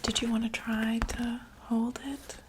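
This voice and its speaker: Whispering woman, slow ASMR doctor roleplay. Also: on the high side, monotone, background noise. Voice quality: breathy, unvoiced whisper. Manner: gentle, reassuring, hesitant check-up patter.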